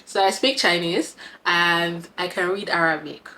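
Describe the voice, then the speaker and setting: A woman's voice: fairly low pitch, measured pace, bright, forceful tone, slight background noise. Chatty, giggly Nigerian woman in her twenties. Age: 20s. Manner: chatty and giggly, with frequent laughs. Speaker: vlogger. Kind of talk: casual talk to camera.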